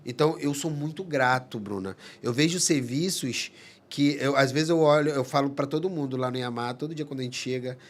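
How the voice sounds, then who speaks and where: A man speaks at a steady middle pitch. He talks earnestly in a relaxed podcast chat, pausing and restarting his phrases as he works through a thought. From Manaus, Amazonas, Brazil. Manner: earnest, halting, many restarts. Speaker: psychologist guest. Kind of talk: casual podcast conversation.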